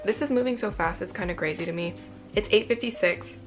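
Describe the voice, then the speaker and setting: A young woman from California chatting casually in a vlog, excitable and full of "like", her voice a bit raspy. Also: medium pitch, a little fast, soft background music. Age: young adult. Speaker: incoming college student vlogger.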